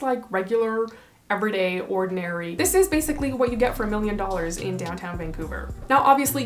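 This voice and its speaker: A young woman from Canada talking casually to camera as a vlogger, chatty and opinionated as she explains numbers. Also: fairly high pitch.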